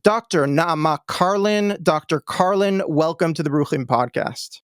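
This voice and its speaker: Higher-voiced man hosting a podcast. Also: a little slow, clear, resonant tone, no echo. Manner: polite, formal introductions. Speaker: podcast host.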